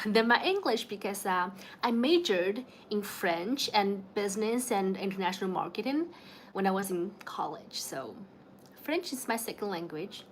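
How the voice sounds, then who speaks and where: A woman's voice: medium pitch, slight background noise. A young woman from China with a Chinese accent, chatting casually with viewers on a home livestream, laughing often and greeting people by name. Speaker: livestream host. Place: home in the evening.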